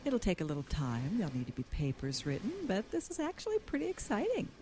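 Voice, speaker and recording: A woman's voice: mid-range voice, wildly swooping pitch, fast, dark tone, a little hiss or hum. A woman acting a dry, skeptical scientist in an audio drama, speaking precisely and a little smugly. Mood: intrigued.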